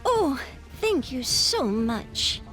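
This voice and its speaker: Gentle worried bunny villager in a game, high voice, music behind her. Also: big pitch swings, extremely slow, heavily acted. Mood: relieved, worried. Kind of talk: video game voice acting.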